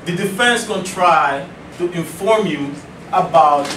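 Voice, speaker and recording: Man, mock trial prosecutor, slow halting formal delivery, echoey room, noisy recording. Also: high-pitched, a bit bright, recorded from a distance. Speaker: law student acting as prosecutor. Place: echoey indoor room, distant microphone, noise behind.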